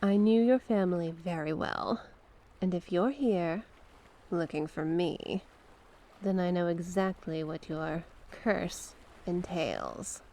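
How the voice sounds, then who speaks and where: A woman as a slow, coaxing sea witch. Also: on the low side, mellow, slight background noise. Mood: smooth, courteous. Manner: coaxing, genteel, terms of endearment. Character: mysterious sea witch, coaxing, sly, courteous monstrous cave dweller. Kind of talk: ASMR roleplay.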